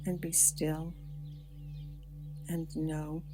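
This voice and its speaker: A low-pitched woman leads a breathing meditation at an unhurried pace, her words soft and soothing, with long pauses between phrases. Gentle music plays behind her. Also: little pitch movement. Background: soft background music.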